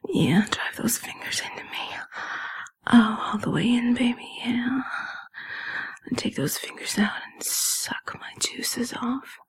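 A woman whispering in a breathy, airy voice, in a sultry, intimate adult audio roleplay style with lingering, sighing delivery. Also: a little high, slow pace, low-quality recording.

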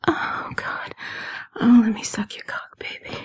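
A woman whispering in a breathy, airy voice, in a sultry, intimate adult audio roleplay style with lingering, sighing delivery. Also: a little slow.

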